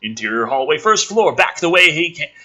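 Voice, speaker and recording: A man's voice: on the high side, very animated, fairly quick, sharp tone. A man reading a script aloud with sarcastic, exasperated, foul-mouthed commentary as the host.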